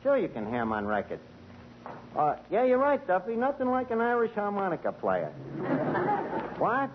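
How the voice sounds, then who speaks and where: A man's voice: sits high, moderate pace, highs cut off, crowd noise. Wisecracking man in hissy 1940 radio comedy. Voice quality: lively, wisecracking, malaprop-prone. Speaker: tavern manager in a radio sitcom. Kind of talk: 1940s radio comedy acting.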